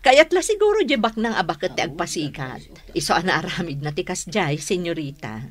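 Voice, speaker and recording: A woman's voice: lowish voice, sing-song, fairly quick, crowd noise. A woman playing a deferential older servant in a Philippine radio drama. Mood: respectful, gossipy. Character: deferential older female servant to young mistress.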